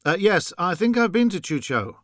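A man's voice: high voice, very animated, normal speed, a bit bright, no echo, studio quality, voice pushed up. A man playing a reluctant, sceptical lawyer in an audiobook, polite and hesitant. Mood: guarded. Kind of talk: audiobook character dialogue.

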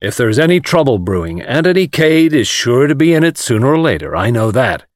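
A man voicing a gruff, hearty male adventurer who teases his friend bluntly in an audiobook story. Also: mid-range voice, very animated, fairly quick, no room sound, lightly acted. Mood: hearty, amused. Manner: blunt, jocular.

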